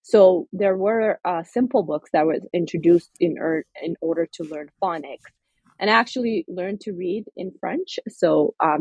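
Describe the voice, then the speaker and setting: A woman's voice: lowish voice, a little mellow, slightly rough, no room sound, low-quality recording. A woman from Somaliland, an author and guest, talking earnestly and reflectively in an interview, with many fillers and restarts. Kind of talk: interview conversation.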